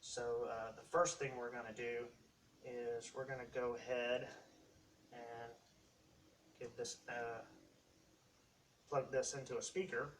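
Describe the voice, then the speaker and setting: A man hosts a casual hands-on gear demonstration. His explanation is halting and rambling, picked up at some distance from the mic with faint noise behind it. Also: medium pitch, flat delivery. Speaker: hobbyist video host demonstrating costume gear.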